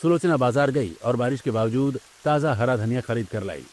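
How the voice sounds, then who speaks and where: A man's voice: medium pitch, a little fast, darkish tone. A man narrating a scripted domestic story for a cartoon.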